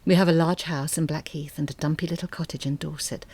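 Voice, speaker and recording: Low, mellow, bitter mother. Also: steady tone, fast, slightly rough. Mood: wistful, contented. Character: bitter, articulate middle-aged mother confronting an old rival. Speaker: audio drama actor. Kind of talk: dramatic monologue, letter read as confession.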